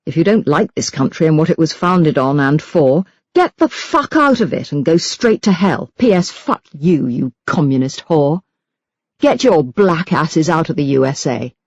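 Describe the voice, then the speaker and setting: A woman's voice: low-pitched, animated, moderate pace, darkish tone, no room sound, dull audio. A woman reading as a venomous, self-righteous religious hate-mail writer in an audiobook, scornful and emphatic. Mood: scornful, threatening. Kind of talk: dramatised audiobook reading of letters.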